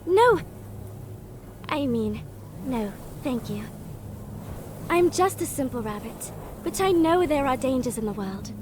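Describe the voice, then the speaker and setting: High-pitched, timid young rabbit maiden. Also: female voice, animated, takes it slowly, long pauses. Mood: flustered, dreamy. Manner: hesitant, flustered, sweetly naive. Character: timid, dreamy, sheltered young female rabbit. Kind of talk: character acting in a fantasy visual novel. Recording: music behind the voice.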